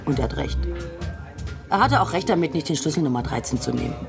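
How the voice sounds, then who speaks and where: A woman's voice: sits low, very animated, quick pace, vocal fry. A woman delivering scripted narration for a radio production. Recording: music loud behind the voice.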